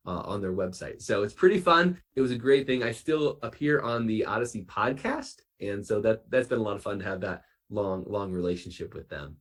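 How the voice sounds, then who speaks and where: A man from the United States speaking as an interview guest in a casual, upbeat, chatty and informal way. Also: fairly low pitch. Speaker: podcast guest, author.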